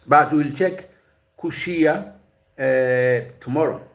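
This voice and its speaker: Male host, slightly high voice, unscripted live lecture, stop-start delivery with many fillers. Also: slow pace. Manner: explanatory, hesitant, with frequent "uh" and repeated words. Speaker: host of a language and culture series. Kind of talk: live-stream lecture, reading chat and answering viewers.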